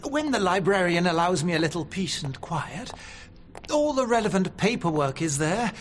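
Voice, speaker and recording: A man's voice: sits high, very animated, moderate pace. An actor playing a mild, earnest, bookish but stubborn English clergyman in a radio drama. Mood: scholarly. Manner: earnest, scholarly, hesitant. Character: mild, bookish, stubborn male cathedral canon.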